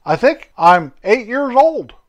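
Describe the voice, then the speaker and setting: A man doing a shy, gentle eight-year-old boy. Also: very high-pitched, very animated, slow, full character voice.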